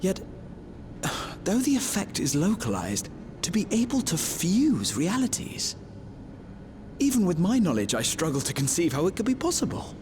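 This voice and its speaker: A learned, grave mage from a video game, with a fairly high voice. The phrasing is formal and erudite, yet the pitch swings very widely. A rumbling noise sits clearly behind the voice. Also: male voice, wildly swooping pitch, moderate pace. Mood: pensive, troubled. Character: scholarly male mage, grave and intellectually troubled. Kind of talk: video game cutscene acting.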